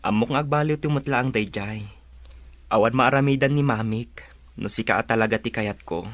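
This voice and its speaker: A man's voice: mid-range voice, fairly quick, no echo, close to an everyday voice. A man playing a devoted young boyfriend in an Ilocano audio drama. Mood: earnest, consoling. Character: devoted young man reassuring his girlfriend about his mother. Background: plucked guitar music.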